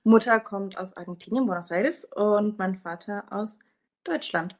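A young woman from Germany giving a reflective personal account, often pausing to search for words. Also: medium pitch, darkish tone, lo-fi sound. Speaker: interviewee.

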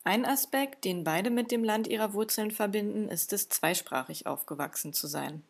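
A woman's voice: medium pitch, normal speed. A woman reporter reading scripted narration between interview clips. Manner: clear read-out links.

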